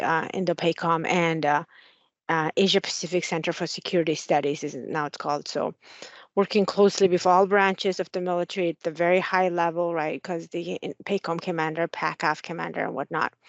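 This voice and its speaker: Mongolian-accented woman officer in her 40s, earnest with lots of fillers, lowish voice, dry close sound. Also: creaky, no echo, highs cut off. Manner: frequent fillers, friendly and earnest. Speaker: Air Force officer. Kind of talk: interview answers.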